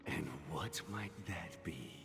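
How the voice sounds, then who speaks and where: An actor playing a kindly older father figure and mentor in a game drama, measured, formal and solemn. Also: sits low, expressive, vocal fry, over music, exaggerated voice. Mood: benevolent, measured.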